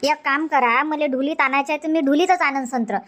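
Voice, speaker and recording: Cartoon comedy housewife, played by an actor: very high voice, chatty, insistent nagger, rural Vidarbha Marathi accent. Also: fairly even intonation, a little fast, fairly bright tone. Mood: stubborn, explaining.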